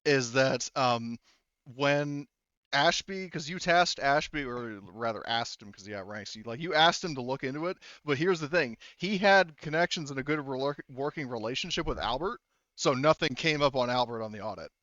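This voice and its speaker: A man's voice: medium pitch, moderate pace, a bit bright, no room sound. Rambling man on muffled, dry voice chat. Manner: rambling explanations with frequent "like". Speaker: game master of an online tabletop roleplaying group. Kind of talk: casual chat, post-game discussion.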